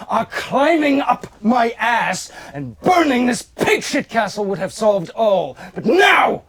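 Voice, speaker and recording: A man acting on stage as a smooth, scheming gentleman swindler of the 1890s with a short temper, theatrical and grandiose. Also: extremely high pitch, sing-song, slow pace, exaggerated voice. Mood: angry, scheming. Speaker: stage actor. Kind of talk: period stage drama acting. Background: music.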